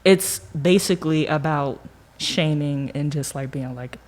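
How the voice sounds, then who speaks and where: A young American woman with a low-pitched voice talks casually about her own experience. Her delivery is colloquial and animated, as if chatting among peers, and she voices her own reactions along the way. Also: unhurried. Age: young adult. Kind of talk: casual conversation, personal reflection.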